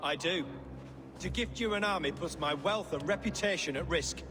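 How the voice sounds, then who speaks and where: A man's voice: a little high, normal speed, background noise, lightly acted. A man playing a sly puppet king, silky. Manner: wry, silky, evasive. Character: sly scheming middle-aged Saxon puppet king. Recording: game audio with long reverb.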